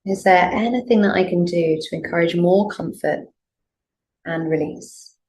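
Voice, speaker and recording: A woman teaching yoga nidra, leading a guided meditation in a gentle, soothing, unhurried way with pauses. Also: lowish voice. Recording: clear background noise, room ambience.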